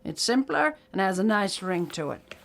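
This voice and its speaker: An actress playing a folksy, firm, no-nonsense small-town judge in an audio drama. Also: female voice, medium pitch, big pitch swings, normal speed, vocal fry. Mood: stern, formal.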